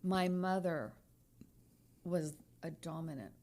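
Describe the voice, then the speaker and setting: Woman, 60s, lawyer co-host. Lowish, gravelly voice, frank, emphatic delivery. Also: slow pace, darkish tone. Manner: emphatic and frank, repeats phrases while searching for words. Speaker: podcast co-host, trial lawyer. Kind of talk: candid podcast conversation.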